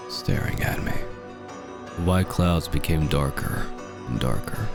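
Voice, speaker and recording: A man reads a creepypasta in a very deep voice with a gravelly edge. His delivery is measured and dramatic, built for dread. Dark music plays clearly behind him. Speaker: horror story narrator. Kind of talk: scripted creepypasta narration in the first person. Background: dark background music.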